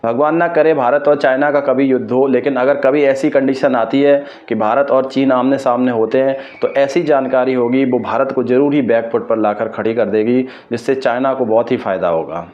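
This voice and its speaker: A man's voice: mid-range voice, fairly even intonation, moderate pace, hardly any pauses. A man from India gives explanatory news commentary to viewers, speaking emphatically and opinionatedly and repeating his points. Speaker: host of an explainer video channel. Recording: dull lo-fi microphone sound.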